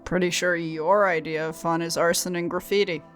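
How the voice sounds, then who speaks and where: A woman's voice: fairly low pitch, steady tone, moderate pace, soft background music, natural voice. A woman voicing a level-headed young student who teases her friend in an audio drama. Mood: dry. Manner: level-headed, dryly teasing. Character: sensible young woman student, patient, wry. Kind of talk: ASMR audio drama roleplay.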